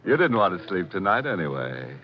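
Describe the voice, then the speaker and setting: An actor playing a sly, wisecracking horror host with mock-sinister delivery and drawn-out punchlines in 1940s radio style. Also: male voice, low-pitched, very animated, slight background noise. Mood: gleefully morbid. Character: ghoulish, wisecracking horror host, gleefully morbid. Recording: muffled 1940s radio recording with music.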